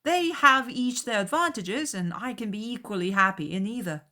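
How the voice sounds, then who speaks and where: An amiable, impulsive young gentleman, acted by a female audiobook reader. The delivery is eager and courteous, raised in pitch and full of swings. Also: expressive, normal speed. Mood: breezy. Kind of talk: dramatized audiobook character voice.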